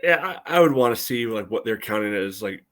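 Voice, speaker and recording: A man's voice: lowish voice, lively intonation, a little fast. A man co-hosting a sports podcast, giving casual analysis in a hesitant, loosely phrased way full of "you know".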